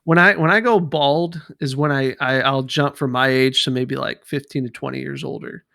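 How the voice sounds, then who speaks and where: A man in his thirties with a gravelly, fry-edged voice rambles through a casual podcast chat, stacking fillers and restarting his sentences. He sits close to a dry mic, at an ordinary middle pitch. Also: steady tone, moderate pace, bright, forceful tone, no room sound. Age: 30s. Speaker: podcast co-host.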